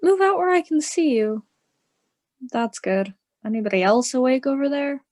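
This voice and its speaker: A woman playing a hard, sceptical female prisoner in a radio play, speaking in a measured, blunt, questioning way. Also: high voice, sing-song, a little mellow. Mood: commanding. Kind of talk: theatrical radio play dialogue.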